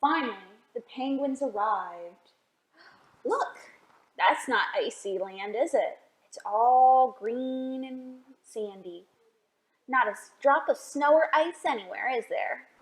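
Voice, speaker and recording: A woman reading a picture book aloud for a children's storytime, expressive and often asking the listeners questions. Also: high voice, takes it slowly, reverberant. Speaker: library storytime reader.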